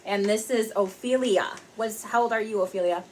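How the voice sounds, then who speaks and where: A woman reading a picture book aloud to young children, expressive and speaking to them directly. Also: mid-range voice, normal speed, a little hiss or hum. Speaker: parent and teacher reading aloud.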